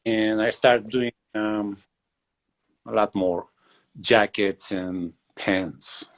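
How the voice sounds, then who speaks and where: Man, forties, from Bulgaria, English, deep voice, halting with lots of fillers, video call. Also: steady tone. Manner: hesitant, many fillers and pauses. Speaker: guest leatherworker and shoemaker. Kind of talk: casual interview over video call.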